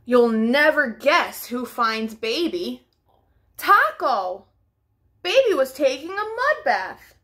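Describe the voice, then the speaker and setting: High-pitched, animated young woman storytime reader. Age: young adult. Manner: expressive, deliberate, child-directed delivery. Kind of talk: read-aloud children's storybook narration. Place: small furnished living room at home.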